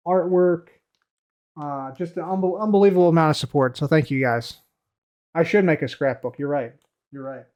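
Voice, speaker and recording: Rambling male streamer, slightly high voice. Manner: rambling, repeats phrases, many fillers; trails off quietly at the ends of phrases. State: voice a little tired from heavy use. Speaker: streamer answering chat. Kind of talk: casual live-stream chat.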